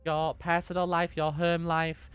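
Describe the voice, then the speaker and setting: A young woman, a little hoarse from being sick, gently answering chat questions on a live stream and repeating her reassuring advice. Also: fairly low pitch, little pitch movement, unhurried, faint music behind.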